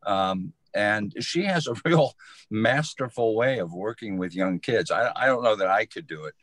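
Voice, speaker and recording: A man's voice: on the low side, lively intonation, moderate pace, a bit bright, no echo. A man giving easygoing, thoughtful, conversational answers as an interview guest from community theater.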